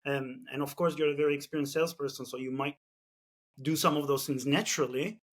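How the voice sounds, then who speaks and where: A man's voice: medium pitch, fairly even intonation, a little fast. A man from Turkey, speaking English with a Turkish accent, hosting a casual business podcast and thinking aloud with many fillers and restarts. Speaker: podcast host.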